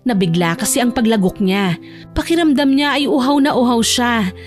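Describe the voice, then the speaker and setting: A woman's voice: fairly high pitch, lively intonation, moderate pace. A woman reading scripted narration as the storyteller of a romance audio drama. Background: background music.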